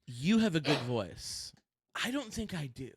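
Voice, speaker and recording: A young adult man co-hosting a podcast, talking loudly and animatedly in casual chat. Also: fairly high pitch, measured pace, husky, no echo, studio quality. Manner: animated, loud, chatty. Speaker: podcast co-host.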